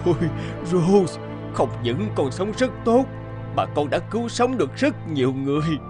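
A man's voice: on the high side, very animated, moderate pace. An actor playing an emotional old king and loving father in a dubbed cartoon, speaking in dramatic exclamations. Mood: moved, tender. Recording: loud music behind the voice.

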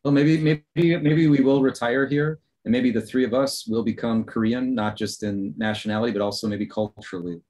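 A man's voice: medium pitch, fairly even intonation, normal speed, hardly any pauses, a little mellow. A man in his thirties chatting casually as a podcast co-host, loose and full of fillers. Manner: loose, filler-heavy talk. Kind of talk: casual chat.

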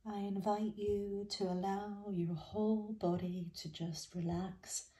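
A woman leading a guided meditation in a gentle, soothing, unhurried way with soft phrase endings. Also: medium pitch, level tone. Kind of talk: guided body scan meditation.

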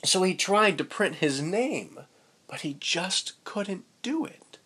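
Expressive young man reading a children's story. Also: high-pitched, slow pace, breathy edge. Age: young adult. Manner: playful, expressive. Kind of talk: expressive read-aloud with casual asides to children. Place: indoors, small room with curtain.

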